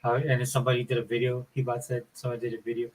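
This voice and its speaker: A man with a mid-pitched, slightly whiny voice chats informally. His delivery is loose and conversational, sprinkled with like and dude. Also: completely flat delivery, rapid-fire, low-quality recording. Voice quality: somewhat whiny. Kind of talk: casual chat.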